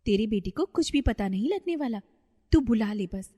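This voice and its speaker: A woman's voice: a little high, animated, normal speed, dark tone, husky, in a large hall. A woman voicing a meddling, gossipy neighbour giving persuasive advice in a cartoon story. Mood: scheming.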